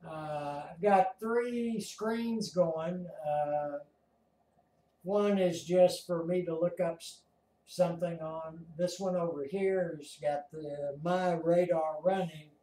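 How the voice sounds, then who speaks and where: Older American male hobbyist host, unhurried and halting, recorded a little distant in a roomy small den. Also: a little high, mellow. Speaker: amateur radio operator, video host. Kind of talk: casual explanatory chat. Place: small home radio room, indoors.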